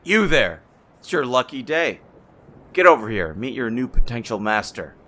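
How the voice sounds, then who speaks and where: A man playing a deferential, businesslike trader in human blood donors in an ASMR roleplay, gravelly, with a folksy salesman's patter. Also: mid-range voice, big pitch swings, moderate pace, bright, forceful tone, a little hiss or hum. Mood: barking orders. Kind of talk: ASMR audio roleplay acting.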